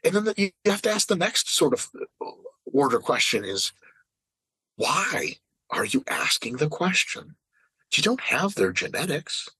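A man's voice: on the high side, big pitch swings, unhurried, sharp tone, breathy edge, no room sound. A man in his late thirties in casual podcast chat, ranting with emphatic, profane energy. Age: 30s. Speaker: fitness podcast regular.

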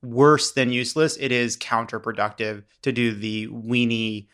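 Joking, self-mocking man, mid-pitched. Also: fairly even intonation, normal speed, a bit bright, clean tone. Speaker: podcast co-host. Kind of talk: casual political chat.

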